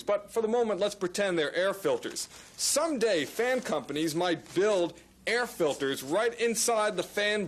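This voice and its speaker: A man's voice: high voice, a bit bright. An American man narrating a scripted television news report on an archival recording. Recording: archival TV audio with faint noise.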